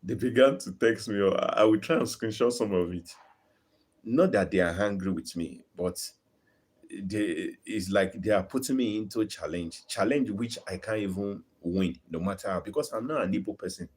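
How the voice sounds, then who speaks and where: Earnest husky-voiced Nigerian Yoruba man. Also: fairly low pitch, moderate pace. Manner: earnest, argumentative, repeats words while building a point. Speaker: YouTube channel host. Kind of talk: live-streamed opinion talk to viewers.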